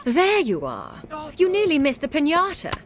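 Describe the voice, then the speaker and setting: An actress playing a bubbly, brittle, judgemental socialite in a video game. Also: female voice, sits high, huge pitch swings, normal speed, background noise. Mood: gushing, urging. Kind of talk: video game character acting.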